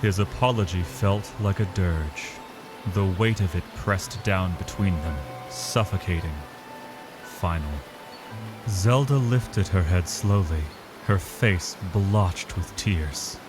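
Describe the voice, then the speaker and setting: A man giving solemn, dramatic scripted narration, grave and measured, pausing between short phrases. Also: deep voice, low-quality recording. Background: cinematic music.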